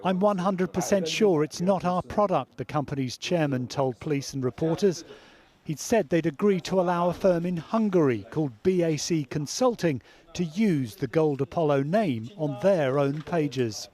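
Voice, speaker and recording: Male news reporter, slightly high pitch, scripted voice-over. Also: animated, normal speed, a little mellow.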